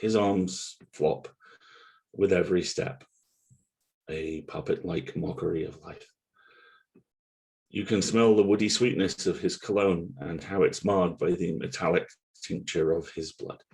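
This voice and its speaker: Very low male voice, acted by a man, gravelly, brooding guilt-haunted narrator, measured, solemn audio drama read. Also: fairly even intonation, darkish tone. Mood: somber, brooding. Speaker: voice actor at a table read.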